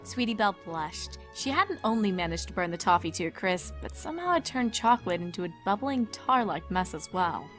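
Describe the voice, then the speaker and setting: A woman narrates a written story in a voice of middling pitch. It is a scripted reading, and soft music runs underneath. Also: sing-song, brisk, hardly any pauses. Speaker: fanfic narrator.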